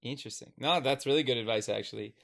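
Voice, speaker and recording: A man's voice: mid-range voice, quick pace, creaky, crowd noise. A male podcast host who reads a sponsor spot and interviews a guest, reacting with short upbeat remarks. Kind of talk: interview hosting and ad read.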